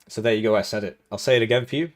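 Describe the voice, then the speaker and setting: A man's voice: on the low side, very fast. A young man hosting a live game tournament stream, talking casually with jokes and chuckles while commentating the match. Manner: rambling, joking, chuckling between remarks. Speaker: tournament host and caster.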